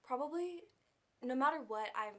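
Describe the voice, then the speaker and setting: Young adult woman, soft-spoken, candid interview guest, casual phrasing. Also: sits high, animated, moderate pace. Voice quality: soft, quiet voice. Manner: open, candid, uses fillers like "like" and "um". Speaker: actress, guest.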